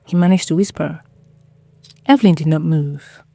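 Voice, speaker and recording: A woman narrating a scripted dramatic story in a measured, solemn, literary manner. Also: sits low, creaky, dark tone, dry recording.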